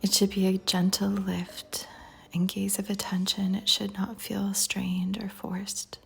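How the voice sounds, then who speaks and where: A woman guiding a meditation in a soft, breathy near-whisper, calm and soothing, with long pauses between phrases. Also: medium pitch. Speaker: meditation guide. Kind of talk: guided meditation. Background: soft music.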